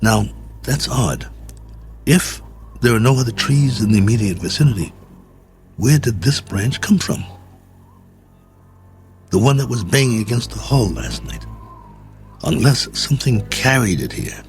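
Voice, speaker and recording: An actor playing a lonely, wry stranded astronaut colonel, musing aloud in a radio drama monologue. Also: male voice, mid-range voice, animated, measured pace, long pauses. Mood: puzzled. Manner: reflective, wry, conversational musing. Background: music.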